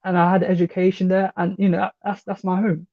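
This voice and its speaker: High, gravelly, fast-talking man. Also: level tone. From Britain. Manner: hesitant, many restarts and fillers. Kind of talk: casual chat. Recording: dull lo-fi online call audio.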